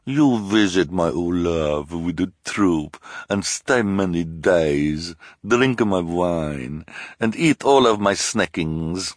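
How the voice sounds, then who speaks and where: A man's voice: deep voice, expressive, slow, no room sound, clean studio sound, pitched above the performer's own voice, heavily acted. A man voicing a wheedling, theatrical female fortune-teller who speaks broken English with a strange foreign accent in an audiobook. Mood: complaining, pleading. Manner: broken English, keeps adding "please".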